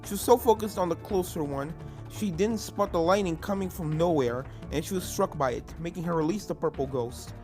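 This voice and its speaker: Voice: mid-range voice, a little fast, darkish tone. Dramatic story narrator over game music. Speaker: narrator, fan fiction reader. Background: video game music.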